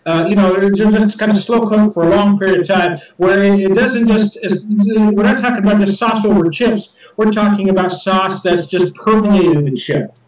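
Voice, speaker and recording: A high-voiced man talks in a loose, rambling way, stumbling over repeated words as he goes. He sits well back from the mic, and the room gives his voice a clear echo. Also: normal speed, hardly any pauses, far from the mic, muffled recording. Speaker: podcast host reviewing beer. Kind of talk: casual chat.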